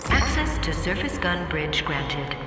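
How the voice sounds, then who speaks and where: A woman's voice: low-pitched, very animated, measured pace. A woman voicing an impersonal facility computer that announces alerts in a video game. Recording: echoing distant announcement under loud music.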